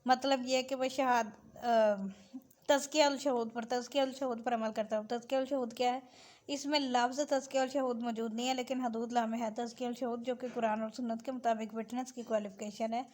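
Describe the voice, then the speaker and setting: A woman with a Pakistani accent giving an explanatory lesson as a law lecturer, switching between two languages and slowing down to read out clauses. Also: on the high side, fairly even intonation, a little mellow. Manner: switches between Urdu-Hindi and English, slow when reading out clauses. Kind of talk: explanatory lesson, reading out and explaining legal text.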